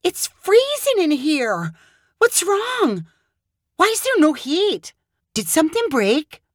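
A woman doing a high, outspoken young woman. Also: big pitch swings, moderate pace, dry recording, clean studio sound. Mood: alarmed. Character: young woman, cheerful, outspoken, caring, bossy.

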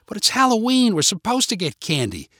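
A man doing a whiny, high-pitched kid. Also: huge pitch swings, a little fast, breathy edge, no echo, studio quality, full character voice. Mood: demanding. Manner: demanding, whining. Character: young trick-or-treating children, whiny, candy-hungry.

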